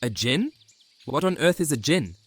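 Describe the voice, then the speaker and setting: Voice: mid-range voice, expressive, moderate pace, voice pushed up, lightly acted. A performer voicing an innocent, puzzled observer who muses aloud in a fantasy audio drama, full of wonder. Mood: wary wonder.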